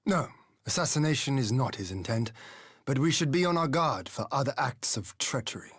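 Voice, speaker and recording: An actor playing a composed, responsible young lord in a dramatic game scene, speaking formally and decisively. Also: male voice, mid-range voice, animated, normal speed, a bit bright, slightly rough, no room sound, studio quality. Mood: resolute.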